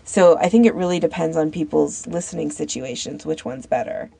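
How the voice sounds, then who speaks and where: A woman's voice: deep voice, a little fast, vocal fry, dark tone, breathy edge. A woman co-hosting a podcast, talking in a casual conversational discussion. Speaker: podcast host.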